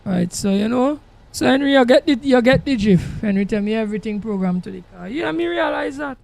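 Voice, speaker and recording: A man with a Jamaican accent and a gravelly voice, chatting casually to livestream viewers in an emphatic, repetitive way. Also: lively intonation, brisk, darkish tone. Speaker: game livestreamer. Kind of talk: casual livestream chat while driving a truck sim. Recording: noisy, engine rumble behind voice. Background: truck engine sounds from the game.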